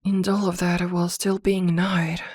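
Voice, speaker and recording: Woman as yandere witch girlfriend, ASMR roleplay, low pitch, dry close sound, soft, possessive, tenderly comforting. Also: level tone, slightly rough, no echo. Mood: fond, reminiscing. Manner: soft, soothing, unhurried, with hesitant pauses.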